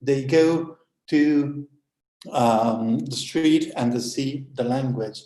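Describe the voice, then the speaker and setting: A man whose first language is Italian speaks on a conference panel, his voice dark and mellow. He talks hesitantly, stopping for fillers and restarting his sentences. The room around him is noticeably echoey. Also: mid-range voice, takes it slowly. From Italy. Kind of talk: conference talk, online panel.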